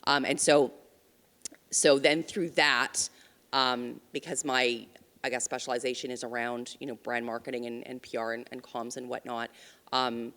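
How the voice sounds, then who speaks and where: A woman's voice: extremely low pitch, flat delivery, moderate pace, a bit bright. A woman, a marketing and communications mentor, talking on a panel with many ums and restarts. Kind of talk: casual panel talk. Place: conference stage. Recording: reverberant hall.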